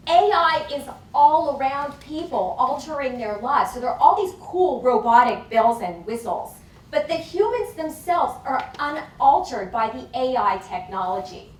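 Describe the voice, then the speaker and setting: A woman's voice: high voice, expressive. Woman conference speaker, emphatic academic delivery, distant and echoey with faint hiss. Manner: emphatic, deliberate lecturing. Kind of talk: academic lecture. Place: conference hall. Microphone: lapel mic. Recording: echoey hall from far off, faint noise.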